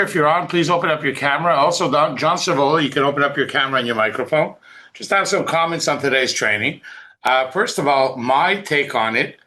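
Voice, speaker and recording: A man's voice: on the high side, pushed and bright. A man hosting an online team call, talking off the cuff and insistently, repeating points and checking that listeners follow. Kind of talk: unscripted motivational talk.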